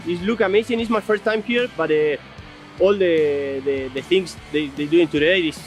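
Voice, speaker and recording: A man from Argentina with an Argentine accent, a polo player speaking halting English in a casual interview. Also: on the high side. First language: Spanish. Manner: halting, non-native English. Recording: music behind the voice.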